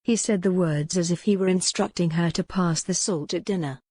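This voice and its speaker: Female audiobook narrator for historical romance: lowish pitch, scripted dramatic prose, very dry studio-like sound. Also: moderate pace, a little mellow, slightly rough, no echo.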